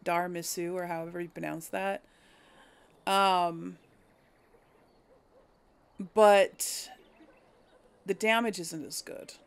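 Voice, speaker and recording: A woman's voice: lowish voice, fairly even intonation, takes it slowly, gravelly, long pauses, smooth. A woman giving casual game commentary, thinking aloud in a hesitant, musing way as she hosts. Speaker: gaming video host.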